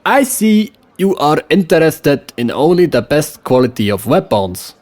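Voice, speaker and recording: Guy doing a slick frankish sword seller, high coaxing voice, salesy. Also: sing-song, unhurried, darkish tone. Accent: West Frankish (put on). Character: sly male weapons trader, smooth-talking.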